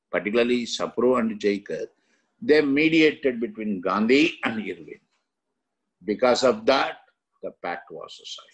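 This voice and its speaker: Middle-aged man, Indian accent, rough husky voice, unhurried pace, exam lecture, choppy emphatic phrasing. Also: medium pitch, low-quality recording. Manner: emphatic, choppy phrases with frequent check-in tags. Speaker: exam coaching history teacher. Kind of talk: lecture explaining exam questions.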